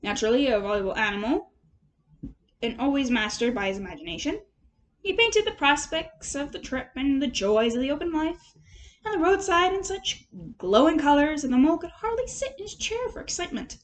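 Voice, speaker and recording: A woman reading a storybook aloud as an amateur narrator, in an expressive storytelling style. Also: high-pitched, fairly quick, slight background noise. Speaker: amateur audiobook reader.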